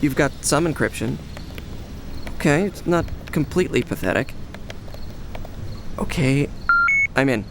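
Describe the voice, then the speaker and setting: An awkward young medical resident in a radio drama. His mid-pitched voice has some creak and a dry, deadpan way with self-deprecating jokes. There is background noise around him. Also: a little slow, pauses often, rough recording. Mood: sly, teasing. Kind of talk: naturalistic radio drama acting.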